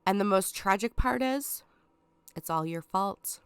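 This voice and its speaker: A woman's voice: mid-range voice, expressive, a little slow, clean tone, no room sound. A woman reading a scheming, condescending lion villain. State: stuffed up from a cold. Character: scheming, sarcastic male lion villain, self-proclaimed king.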